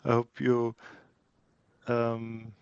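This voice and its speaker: Slow, gravelly man hosting a call. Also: mid-range voice, monotone, no room sound. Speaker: host. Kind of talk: moderating an online audio chat. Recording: dull, dry lo-fi call audio.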